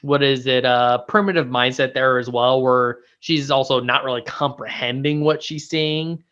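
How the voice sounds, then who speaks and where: A man's voice: medium pitch, fairly even intonation, moderate pace, a bit bright, clear, resonant tone. A man chatting in an informal, slangy way as a guest discussing a TV show. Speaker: guest co-reviewer. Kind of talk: casual chat, informal TV discussion.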